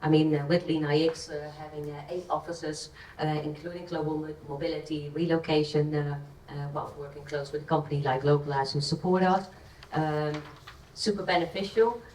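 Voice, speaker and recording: Dutch woman, very low voice, hall echo. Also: fairly even intonation, normal speed, a little mellow, slight background noise. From Netherlands. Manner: hesitant, many fillers. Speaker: panelist, talent acquisition director. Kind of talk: conference panel talk. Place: conference stage. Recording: echoey hall, distant mic.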